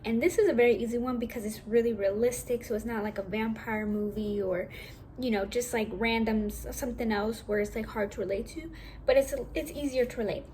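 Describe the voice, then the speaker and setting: A young woman with a naturally high voice, talking casually and rambling a little as she shares acting tips from home. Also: fairly even intonation, fairly quick, darkish tone, slight background noise, poor audio. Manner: chatty, rambling, self-correcting, casual. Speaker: vlogger.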